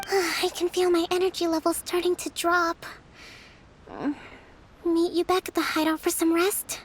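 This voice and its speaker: A woman voicing a young divine priestess who leads an island, speaking in a measured, composed and softly firm way in a game cutscene. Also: extremely high pitch, little pitch movement, slight background noise. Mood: tired, gentle. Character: young female divine priestess leader, calm and gentle. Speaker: island leader addressing soldiers. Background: faint game music.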